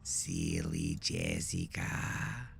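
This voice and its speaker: A man voicing an eerie young girl hiding something ancient, flat, metallic and taunting, in an audio drama. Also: rumbling low, drawn-out words, vocal fry, pitched below the performer's own voice, heavily acted. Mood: flat, mocking.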